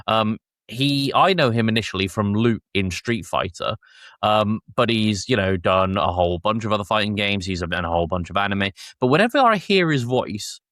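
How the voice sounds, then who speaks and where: A man with a fairly low voice hosts a casual review podcast. He explains things at length and corrects himself along the way. The sound is close and dry. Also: lively intonation, moderate pace, a bit bright, no echo. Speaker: podcast host. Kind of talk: casual review chat with plot summaries.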